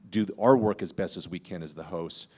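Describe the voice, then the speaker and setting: Low-voiced man explaining on a panel. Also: fairly quick, darkish tone. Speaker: podcast host on a panel. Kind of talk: panel discussion talk, explanatory.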